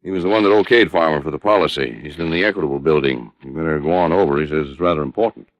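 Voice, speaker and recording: An actor playing a polite, businesslike insurance official in an old radio drama, speaking matter-of-factly. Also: male voice, a bass voice, rapid-fire, dry recording. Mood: hurried, attentive. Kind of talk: 1940s-50s radio drama acting. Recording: dull vintage radio recording.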